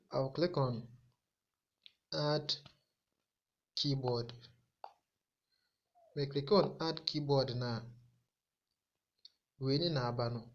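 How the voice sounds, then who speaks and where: Ghanaian man, dull lo-fi tutorial recording. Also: mid-range voice. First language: Akan. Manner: halting, repetitive explanation. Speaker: tech tutorial host. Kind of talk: informal step-by-step tutorial, mixing two languages.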